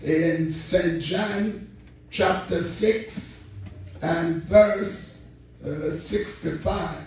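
High-voiced slow preacher in a roomy church. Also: male voice. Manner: fervent preaching with repeated phrases and call-and-response. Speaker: assistant pastor preaching. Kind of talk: sermon. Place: large church sanctuary. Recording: roomy distant church recording with faint noise.

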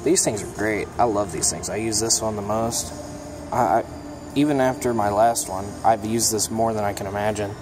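A young mechanic casually showing his toolbox, talking in a relaxed, plainspoken way with plenty of ums and uhs. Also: lowish voice, normal speed. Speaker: mechanic giving a toolbox tour. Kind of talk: casual show-and-tell vlog. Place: auto repair shop, indoors. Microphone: phone held in hand, filming first-person. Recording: shop noise with air conditioning hum.

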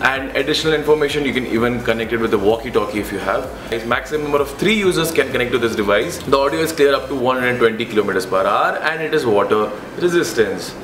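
A man's voice: medium pitch, animated, fast talker, few pauses. A man in his twenties with an Indian accent, giving a casual, conversational product review to camera and repeating words for emphasis. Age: 20s. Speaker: motovlogger reviewing gadgets. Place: indoors in a living room at home. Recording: roomy echo with clear background noise.

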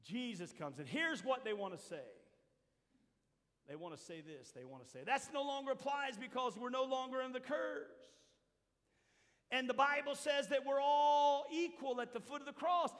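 An older man preaching a sermon as pastor to his church, shifting from quiet asides to shouted points. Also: extremely high pitch, moderate pace. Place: large church hall with a seated congregation. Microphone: handheld mic, roaming the stage. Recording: long hall reverb.

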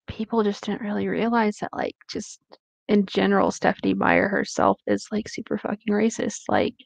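A woman's voice: mid-range voice, fairly even intonation, normal speed, gravelly, dry recording. A woman chatting casually and giving her opinions in very informal, slangy speech. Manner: informal, says "like" often.

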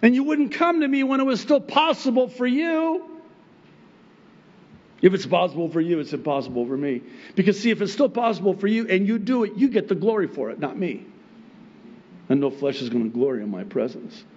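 A middle-aged man whose first language is Arabic, a pastor preaching a sermon from a pulpit microphone on a church stage, animated and chuckling often as he acts out God's replies. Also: sits high, fairly quick, lo-fi sound. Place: church stage with wood pulpit and plants, indoors.

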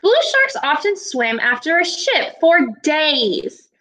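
High, bright-voiced young woman teaching children. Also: sing-song. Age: 20s. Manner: deliberate, instructive, addressing children directly. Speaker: elementary school teacher. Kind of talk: read-aloud lesson for young children. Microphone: laptop webcam at arm's length.

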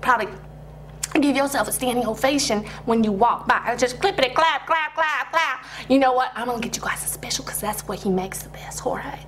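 A woman's voice: a little high, brisk, fairly bright tone, a little hiss or hum, close to an everyday voice. A woman playing a gushing, nosy truck stop waitress in a comedy sketch, folksy and prying.

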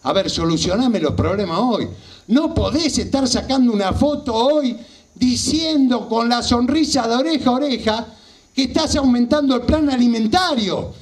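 A man's voice: very high voice, moderate pace. A man in his fifties with a Rioplatense Argentine accent delivers an impassioned political speech, emphatic and repeating phrases for effect. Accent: Rioplatense Argentine Spanish. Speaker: local party politician. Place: small outdoor rally stage at night. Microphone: handheld microphone.

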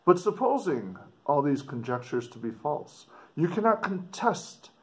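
A middle-aged man, a teacher, reading a novel aloud to students with casual asides, expressive and declamatory when reading. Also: a little high, a little slow. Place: indoors in front of a dark board.

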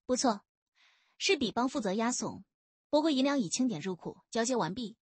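Proud female gang leader, played by a narrator: formal, poised, subtly sarcastic, higher voice, historical audiobook. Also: expressive, moderate pace, darkish tone, breathy edge, no room sound. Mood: composed. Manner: formal, courteous with veiled sarcasm.

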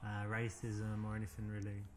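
A man playing a smooth English charmer. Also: lowish voice, monotone, brisk, a little hiss or hum, close to an everyday voice. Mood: friendly flirting. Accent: South London English. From England. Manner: smooth, chatty, complimentary. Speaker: player character in a dating video game. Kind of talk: scripted game dialogue.

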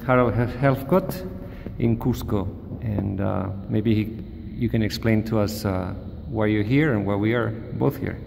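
A man asks interview questions in a low, gravelly voice, hesitating with fillers. He is heard off camera in a large room. Long reverb and a faint hiss sit around his voice. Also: unhurried. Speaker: interviewer, mathematician.

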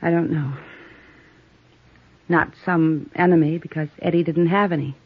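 Guarded, coy American widow, muffled sound. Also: female voice, sits low, steady tone, unhurried, slightly rough, no echo. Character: strained, seductive widow under suspicion. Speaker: radio actress. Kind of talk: old-time radio drama acting. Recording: muffled old radio broadcast recording.

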